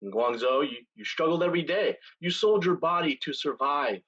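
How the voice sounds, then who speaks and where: A man acting a seasoned, weary pirate captain in a staged play reading, speaking in a measured, deliberate way with dramatic pauses. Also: on the high side, expressive, a bit bright, husky, dull audio. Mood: tender, earnest. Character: seasoned male pirate captain, weary, solemn, tender, once brash.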